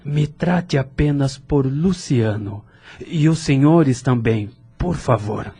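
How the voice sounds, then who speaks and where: Male actor as lonely polite man, Brazilian accent, heavily husky voice, slow measured delivery, audio drama. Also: medium pitch, animated, dark tone, full character voice. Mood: friendly. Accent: Brazilian Portuguese. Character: Luciano, lonely, polite man moved by hospitality.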